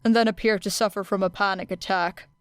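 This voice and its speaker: A woman voicing a stern, tired male teacher in an audiobook, with a terse, even, deadpan manner. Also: medium pitch, brisk, smooth, no room sound, natural voice. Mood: dry, weary. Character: weary, stern adult male teacher, dryly grumbling. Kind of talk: audiobook character acting.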